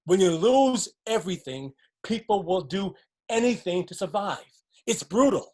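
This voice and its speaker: A woman's voice: medium pitch, expressive, normal speed, dark tone, breathy edge. A woman playing a wary, resigned survivor in a stage play, with a pragmatic, cautioning way of talking. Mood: firm, defensive. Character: wary, resigned flood survivor clinging to a cramped shelter.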